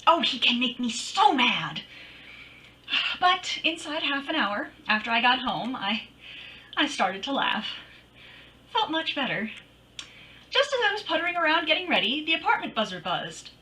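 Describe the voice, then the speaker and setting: A woman's voice: sits high, sing-song, moderate pace, long pauses, bright, forceful tone, distant mic, heavily acted, poor audio. An actress playing a witty, feisty woman detective in a 1940s radio drama, with a wry, teasing, dramatic delivery. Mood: wry, animated. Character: witty, feisty woman private detective narrating her case.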